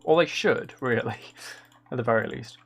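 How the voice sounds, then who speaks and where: A man's voice: medium pitch, moderate pace, gravelly, a bit bright, soft background music. A man chatting casually on a live game stream, musing and thinking aloud as he plays. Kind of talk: casual live stream chat while playing.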